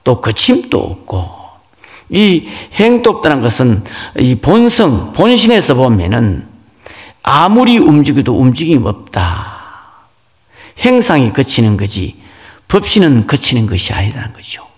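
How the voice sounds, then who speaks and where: A man's voice: medium pitch, wildly swooping pitch, measured pace. A man, a Buddhist monk, gives an explanatory dharma talk on scripture, posing questions and answering them. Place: large reverberant hall. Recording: long hall reverb.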